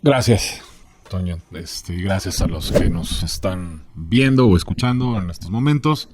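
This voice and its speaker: A man's voice: sits low, expressive, a little slow, darkish tone. A man co-presenting a podcast, explaining things in a casual, joking, informal way.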